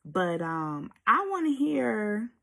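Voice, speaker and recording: A woman hosting a casual vlog, talking in an animated, emphatic and sassy way with a slightly husky voice. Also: mid-range voice, slow. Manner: animated, emphatic, sassy asides with pet names. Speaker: host of an audiobook review vlog. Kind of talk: casual chatty video commentary.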